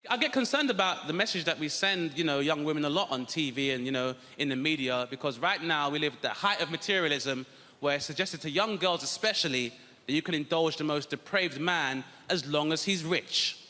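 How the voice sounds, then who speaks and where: A man doing a stand-up routine on a theatre stage, sardonic with deadpan asides to the crowd. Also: a little high, brisk. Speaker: stand-up comedian. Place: large theatre stage with audience. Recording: live theatre with long reverb and noise.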